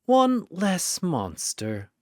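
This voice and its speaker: A man voicing a sardonic vampire rogue in a hushed, suave murmur for an audio fantasy story. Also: sits high, wildly swooping pitch, drawn-out words, no room sound, studio quality, pitched above the performer's own voice, exaggerated voice. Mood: dry.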